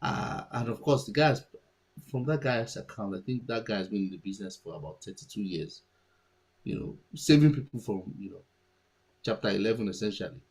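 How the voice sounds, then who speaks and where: A man's voice: lowish voice, lively intonation, brisk, darkish tone, slightly rough, poor audio. A man whose first language is Yoruba, giving casual market commentary and thinking aloud with many restarts and fillers.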